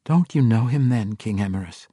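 A man voicing a confident, knowing young woman in a fantasy audiobook, poised and gently challenging. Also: fairly low pitch, animated, moderate pace, breathy edge, no echo, clean studio sound.

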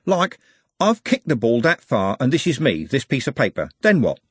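A man's voice: medium pitch, wildly swooping pitch, normal speed, husky, no room sound, voice pushed up. A man voicing an insistent, muddled working-class football bloke in a storybook, colloquial and argumentative.

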